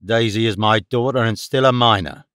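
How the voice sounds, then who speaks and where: A man voicing a gruff, domineering, bigoted father in an audiobook, curt and commanding. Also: on the low side, moderate pace, a bit bright, no echo, studio quality, lightly acted. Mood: curt, dismissive. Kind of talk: audiobook character dialogue.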